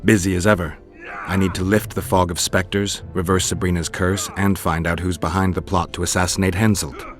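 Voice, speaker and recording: An actor playing a stoic, dry-witted mercenary monster hunter in a video game, terse and deadpan. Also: male voice, low-pitched, fairly quick, natural voice. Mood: wry, measured. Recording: game music behind the voice.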